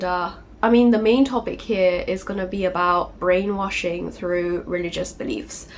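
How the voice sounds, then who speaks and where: A woman speaks to her channel in an earnest, gentle way, often adding "you know". She is getting over a cold, so her voice sounds slightly off. Her pitch sits at a middle height for a woman, with a faint hiss behind her. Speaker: channel host. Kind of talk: personal confessional vlog.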